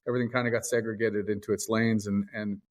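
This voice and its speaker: A man in his forties from England, a musician guest in a casual interview, easygoing and pausing often with filler sounds. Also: lowish voice, flat delivery. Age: 40s. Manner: easygoing, hesitant with many uhs.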